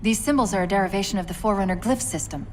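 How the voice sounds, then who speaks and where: A woman playing a quick-witted, emotional AI companion in a video game cutscene. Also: mid-range voice, darkish tone, a little hiss or hum, close to an everyday voice. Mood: alert, analytical.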